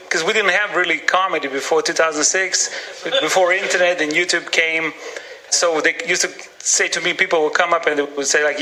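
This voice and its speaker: A man from Slovenia, a stand-up comedian talking on a link from a small radio studio, easygoing and deadpan. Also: on the high side, noisy background. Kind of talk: interview over a studio link.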